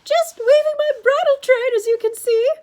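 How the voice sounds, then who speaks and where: A woman voicing a nervous male who poses as a monster's flirty bride in a shrill, fluttery falsetto. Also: normal speed, darkish tone. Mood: nervous, fawning. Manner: fluttery, stalling, giggly.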